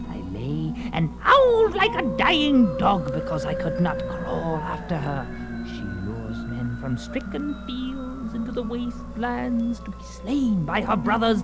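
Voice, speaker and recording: A man's voice: extremely high pitch, heavily acted. A man playing an old, half-mad Norse warrior in an audio drama, telling his tale in a theatrical, haunted and fervid way. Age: older. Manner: theatrical, drawn-out storytelling. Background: music.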